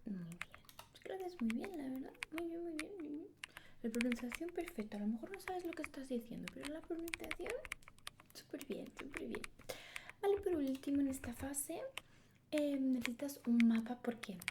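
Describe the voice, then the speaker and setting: A woman from whispering a patient, praising English lesson as an ASMR roleplay tutor. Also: high voice. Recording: clear keyboard typing noise.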